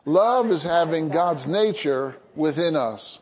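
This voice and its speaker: Male pastor, slightly high voice, slow solemn worship leading in a church. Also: animated, long reverb tail, lo-fi sound. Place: church sanctuary.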